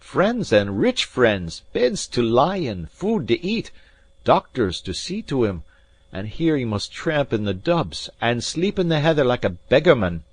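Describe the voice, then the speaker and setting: A man voicing a fiery Scottish Highlander. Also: sing-song, normal speed, few pauses, darkish tone. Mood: earnest. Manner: gruff, fiery, blustering. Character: fierce proud Scottish Highland gentleman.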